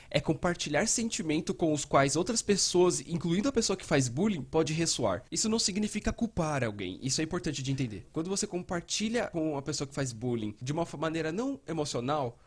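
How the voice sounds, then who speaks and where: A man acting as a Brazilian mental health educator with a slightly high voice. He explains earnestly and conversationally, in an animated manner, like a dubbed educational video. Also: husky. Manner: animated, acting out example dialogue.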